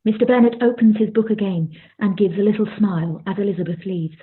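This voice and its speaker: Husky woman narrator, dull recording. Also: medium pitch, quick pace. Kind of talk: scripted narration for radio play. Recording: dull, lo-fi sound.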